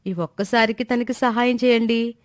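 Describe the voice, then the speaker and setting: A woman's voice: a little high, fairly even intonation, moderate pace, no echo, clean studio sound, lightly acted. A woman voicing a timid, respectful young wife in a moral story, anxious and coaxing in manner. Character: timid, dutiful young wife and daughter-in-law. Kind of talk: animated moral story dialogue.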